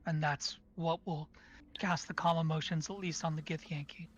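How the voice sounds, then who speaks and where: A player in a tabletop game who talks in a hoarse, tired voice with many halting fillers. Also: medium pitch, steady tone, lo-fi sound, a little hiss or hum.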